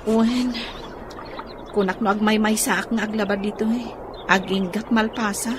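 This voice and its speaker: An actress with an Ilocano accent playing a young student daughter who resists an arranged marriage in a radio drama. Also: female voice, mid-range voice, fairly even intonation, a little slow, noisy background, natural voice. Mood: troubled.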